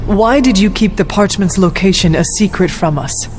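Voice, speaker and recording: Actress as a solemn, formal warrior hunter. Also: female voice, mid-range voice, lively intonation, over music, lightly acted. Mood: probing, calm. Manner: formal, measured, deliberate. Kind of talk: video game cutscene acting.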